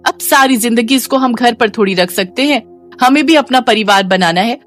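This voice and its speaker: Woman voicing a sharp, taunting sister-in-law, higher-pitched. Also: moderate pace, soft background music, natural voice. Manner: taunting, nagging. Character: scornful, sharp-tongued young sister-in-law, complaining.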